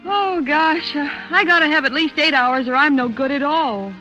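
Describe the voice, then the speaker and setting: A woman's voice: high-pitched, moderate pace, sharp tone, faint music behind. A woman playing a friendly young office girl in a 1940s radio drama. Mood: friendly, sleepy. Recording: dull vintage 1940s radio recording.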